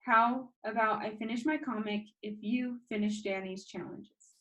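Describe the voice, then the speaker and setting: Casting: woman playing eager storybook schoolboy, self-doubting and dramatic, pushed higher, emphatic and pleading. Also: fairly even intonation, measured pace, a bit bright, low-quality recording. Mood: determined, pleading.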